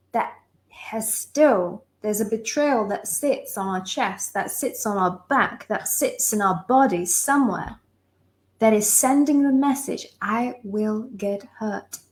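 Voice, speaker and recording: A young adult woman from Lithuania, a relationship coach giving a live online masterclass, talking with emphatic, impassioned repetition and calling listeners her loves. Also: on the high side. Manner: emphatic, impassioned delivery with lists of repeated phrases; addresses listeners warmly as sisters and loves.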